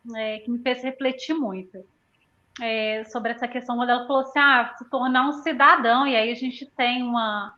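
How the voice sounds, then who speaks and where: A woman's voice: fairly high pitch, fairly even intonation, moderate pace, bright, forceful tone. A Brazilian woman in her thirties speaking reflectively in a conversational panel discussion, often punctuating her points with tag questions. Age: 30s. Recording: dull lo-fi audio.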